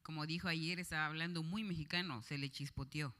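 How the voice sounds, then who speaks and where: A woman's voice: deep voice, steady tone, fairly quick, bright, forceful tone, a little hiss or hum. A woman informally moderating a question session for an audience, chatty and encouraging. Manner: chatty, encouraging, uses diminutives. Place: large hall at a conference. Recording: reverberant hall sound.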